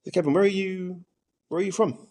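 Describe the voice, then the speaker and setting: A man's voice: sits high, fast talker, a little mellow. A man hosting a podcast, putting casual, conversational questions to a guest. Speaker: podcast host, interviewer.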